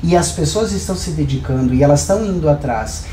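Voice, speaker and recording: A man with a southern Brazilian accent and a fairly high, very mellow voice talks through ideas on a podcast. He explains with examples and rhetorical questions, in a roomy, echoing space. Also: lively intonation, fast talker. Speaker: podcast guest, hair professional. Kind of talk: conversational podcast discussion. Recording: roomy echo, clear background noise.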